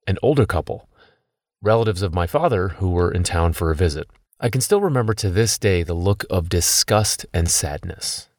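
A man's voice: sits low, lively intonation, moderate pace, darkish tone, no echo, clean studio sound. A man in his thirties, a podcast host telling a personal story in scripted documentary narration.

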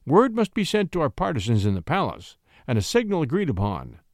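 A man's voice: on the high side, wildly swooping pitch, a little fast, no room sound, clean studio sound. Man voicing a grand, courtly, huge marquis. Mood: measured, grand. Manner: great voice softened by habit, courtly.

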